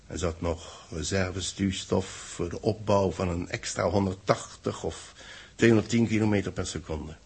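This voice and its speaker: An actor playing a grave space-mission official in a 1960s radio play, speaking solemnly and deliberately in a husky, gravelly voice. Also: male voice, on the low side, a little mellow.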